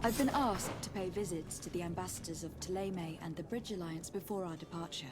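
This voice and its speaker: A woman's voice: fairly low pitch, brisk, music in the background, lightly acted. An actress playing a poised young noblewoman diplomat in a fantasy video game, speaking formally, earnestly and politely. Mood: composed.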